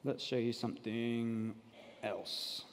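A man's voice: mid-range voice, level tone, slow pace, a little hiss or hum. Man presenting in a reverberant hall. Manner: informal, explanatory. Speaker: conference presenter. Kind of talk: live software demo talk. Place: large indoor conference stage. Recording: reverberant hall stage recording.

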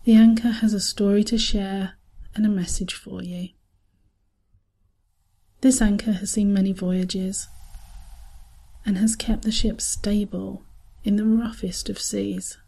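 Woman, guided meditation narration, calm and soothing, slow pace, mellow tone, soft breathy edges. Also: mid-range voice. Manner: calm, soothing, unhurried with long pauses.

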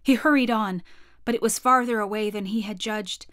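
A woman's voice: medium pitch, normal speed, a bit bright, no room sound, clean studio sound. A woman, narrating a children's book expressively. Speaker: storyteller. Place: small home room with bookshelves.

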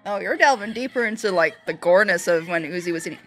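A woman's voice: on the low side, animated, quick pace, faint music behind. A woman hosting a reaction video, thinking aloud in rambling, casual commentary with bursts of exclamation.

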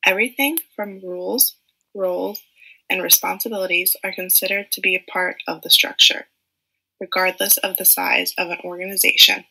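Bright, gravelly woman reading a script. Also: fairly low pitch, steady tone, normal speed, poor audio. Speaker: student presenter. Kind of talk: scripted narration of an animated case study.

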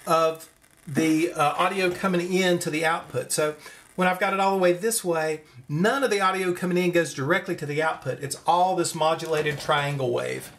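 A man's voice: on the high side, steady tone, moderate pace, a bit bright. A man giving an informal hands-on electronics demonstration, explaining as he goes and thinking aloud. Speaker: electronics tutorial presenter. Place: at a desk indoors.

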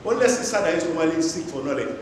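A middle-aged man with a Nigerian English accent giving a Friday sermon in a mosque, swinging between loud exhortation and quiet asides. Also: animated, very fast, a little hiss or hum. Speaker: imam. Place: large tiled mosque hall. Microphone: lapel mic. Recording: booming echo of a large hall.

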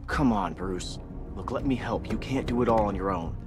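An actor playing a cocky, loyal young crimefighter in a video game, wry and casual. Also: male voice, lowish voice, normal speed, over music, lightly acted. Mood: wry, earnest.

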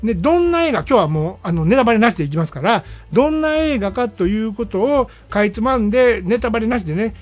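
A male radio host with a Kansai Japanese accent talks in a very animated, swooping way. He is chatty and emphatic, reviewing films casually on his own, with music playing behind him. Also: sits high, fast, hardly any pauses. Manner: chatty, emphatic, peppering phrases with "ne". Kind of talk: casual film review monologue.

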